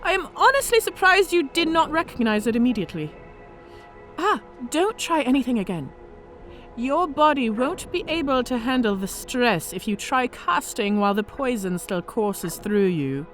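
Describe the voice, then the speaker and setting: Fantasy audio drama, female dragon mage in disguise: cunning, articulate, very animated, high-pitched, faint music beneath. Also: moderate pace. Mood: mocking, calm. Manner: theatrical, composed, formally articulate.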